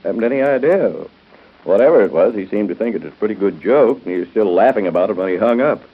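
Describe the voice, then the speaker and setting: Low-voiced, lively radio-soap doctor. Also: male voice, quick pace, breathy edge. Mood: puzzled. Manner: hesitant, conversational. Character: busy, good-natured, puzzled male doctor. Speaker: radio soap opera actor. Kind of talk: 1930s radio drama acting. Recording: muffled lo-fi 1930s radio broadcast.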